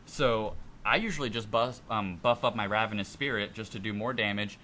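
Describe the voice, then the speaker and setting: A man co-hosts a gaming podcast in casual chat. His voice is fairly low yet bright and sharp, recorded with a little background noise. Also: a little fast. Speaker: podcast co-host. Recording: faintly noisy amateur podcast recording.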